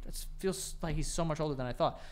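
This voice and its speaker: A man's voice: on the high side, fast, a little mellow. A man co-hosting a podcast, reading out facts and joking in casual chat. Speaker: podcast co-host.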